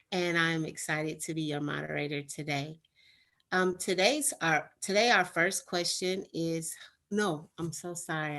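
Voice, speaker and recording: Bright, upbeat woman moderator. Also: sits low. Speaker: moderator, fifth grade teacher.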